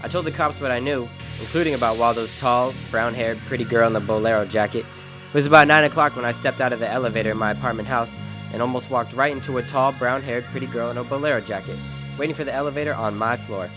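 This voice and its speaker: A man's voice: medium pitch, fast talker, music in the background, close to an everyday voice. Man playing a wry hardboiled private eye. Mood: wry narration. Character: world-weary, wry male private detective narrating his case. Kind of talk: hardboiled old-time radio drama narration.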